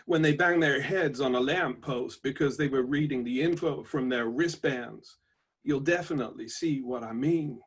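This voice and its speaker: A man voicing a reflective, wryly stoic robot labourer from a book, slowly and deliberately, with an American-style twang. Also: mid-range voice, husky. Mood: dry, wry. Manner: slow, deliberate, pausing delivery. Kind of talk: book reading of aphoristic notebook entries.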